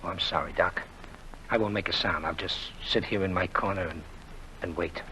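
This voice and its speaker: An actor playing a gruff, sardonic immigration officer who talks tough and sarcastic, in 1940s radio acting style. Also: male voice, low voice, steady tone, moderate pace, bright, forceful tone, slight background noise, poor audio.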